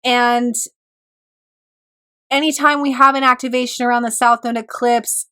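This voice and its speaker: A woman astrologer giving a reflective solo talk, her voice still recovering from a cold, with pauses and throat clearing. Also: on the high side, bright, forceful tone.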